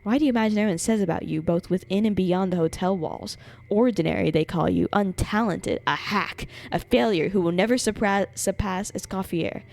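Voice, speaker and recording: A woman's voice: medium pitch, brisk, creaky. A woman live-streaming a game, reacting expressively and reading game text aloud dramatically. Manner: expressive, sweary, incredulous reactions. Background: game music.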